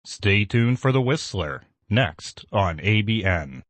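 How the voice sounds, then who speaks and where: A man's voice: on the low side, lively intonation, slow, fairly bright tone, dry recording. A man reading scripted station announcements as a radio announcer, in a dull lo-fi recording.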